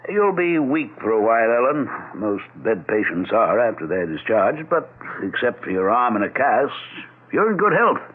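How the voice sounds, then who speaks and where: An actor playing a learned, frank doctor in a 1970s radio drama, explaining things in a deliberate, didactic way. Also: male voice, medium pitch, very animated. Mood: frank, reassuring. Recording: tinny, phone-like vintage radio broadcast.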